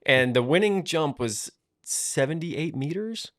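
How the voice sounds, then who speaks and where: A man's voice: fairly high pitch, animated, a little slow, a bit bright, no echo, clean studio sound. A man hosting a podcast in a casual, upbeat, chatty way. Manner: chatty, upbeat, full of fillers. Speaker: podcast host.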